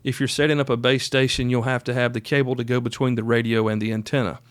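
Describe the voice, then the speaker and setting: A man's voice: mid-range voice, flat delivery, quick pace, smooth, no room sound. A man hosting a podcast, giving casual instructional talk in a folksy, plainspoken, conversational way. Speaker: podcast host.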